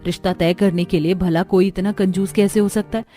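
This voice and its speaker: A woman's voice: mid-range voice, steady tone, a little fast, dark tone, close to an everyday voice. Woman playing an outspoken, indignant young daughter-in-law. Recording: music under the voice.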